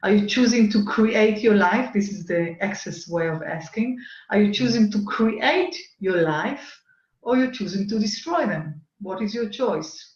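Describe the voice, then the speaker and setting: A woman co-hosting an online coaching talk, unhurried and putting her points as repeated questions. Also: mid-range voice, animated, darkish tone, roomy sound, muffled recording. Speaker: co-host and facilitator.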